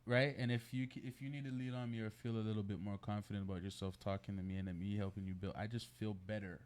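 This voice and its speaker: Lowish-voiced man chatting casually. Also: steady tone, quick pace, darkish tone. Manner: informal, slangy, seeks agreement with "right?". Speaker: talk show guest. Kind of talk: casual conversational interview answers.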